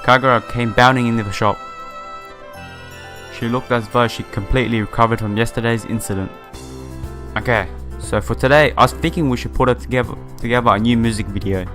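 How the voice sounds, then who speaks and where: A man's voice: medium pitch, fairly even intonation, a little fast, a bit bright. Chatty male gamer over music. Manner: informal, chatty, exclamatory reactions. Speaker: let's play YouTuber. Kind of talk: visual novel playthrough, reading text aloud with casual reactions. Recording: music behind the voice. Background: game music.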